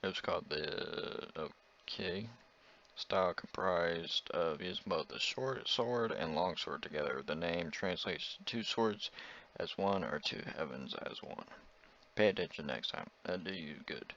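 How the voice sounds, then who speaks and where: Guy doing a strict teacher from a visual novel, real deep creaky voice, scolding and lecturing, dull audio. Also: a little slow, a bit bright, slight background noise. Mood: stern, chiding. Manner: didactic, reproving. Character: stern, chiding school history teacher lecturing a class.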